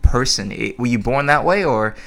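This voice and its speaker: A man's voice: mid-range voice, animated, normal speed, creaky, fairly bright tone. A man hosting a podcast, chummy and slangy, often calling his guest "bro". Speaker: podcast host. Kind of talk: casual interview. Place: podcast studio.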